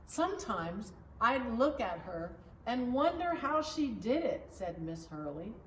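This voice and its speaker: A woman reading a children's story aloud in a measured, expressive storytelling manner, recorded at a distance in an echoing room. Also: on the high side. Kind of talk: children's picture-book read-aloud. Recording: roomy echo, distant mic, background noise.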